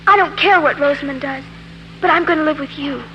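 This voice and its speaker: An actress playing an unhappy, stubborn young daughter in a 1940s radio drama. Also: female voice, high voice, brisk, lo-fi sound, close to an everyday voice. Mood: pleading, stubborn. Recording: humming, noisy 1940s radio recording.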